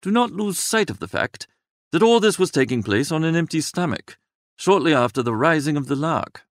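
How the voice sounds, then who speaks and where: Breezy idle young gentleman, lively high-pitched man acting. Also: moderate pace, no room sound, clean studio sound, lightly acted. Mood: breezy, droll. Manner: wordy, breezy, drolly exaggerating. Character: Bertie Wooster, young idle upper-class gentleman, flippant and languid. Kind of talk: comic first-person audiobook narration.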